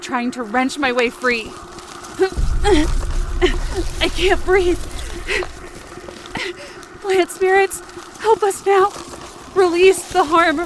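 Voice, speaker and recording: Woman acting high-voiced, frightened, determined young heroine. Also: background noise. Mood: pleading. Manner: tense, breathless, intense present-tense storytelling. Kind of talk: dramatic first-person scripted narration, audio fiction. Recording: music bed under the voice. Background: dramatic music.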